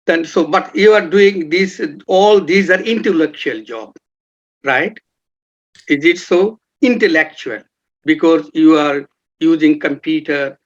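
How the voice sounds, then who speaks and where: Male interviewer with a formal manner and a higher voice; dull, lo-fi recording. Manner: formal, repeats acknowledgements like "yes" and "right". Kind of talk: podcast interview questions. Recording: dull lo-fi sound.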